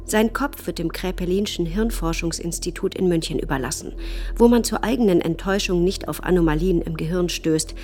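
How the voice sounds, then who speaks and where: A woman narrates a documentary radio drama in a slightly low voice. Her scripted delivery is formal and factual, with music clearly present behind her. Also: a little fast, darkish tone.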